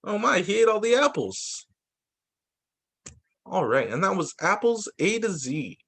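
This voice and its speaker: Male teacher for picture-book read-aloud: expressive storyteller, fairly high voice, highly varied melody. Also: a little slow, creaky, breathy edge. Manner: expressive, sing-song storytelling. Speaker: teacher reading to young students.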